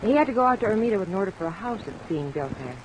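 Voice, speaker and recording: Woman playing young office worker, medium pitch, earnest, courteous, helpful, old radio drama, noisy recording with rain. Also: sing-song, racing, natural voice. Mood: helpful, polite. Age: 20s.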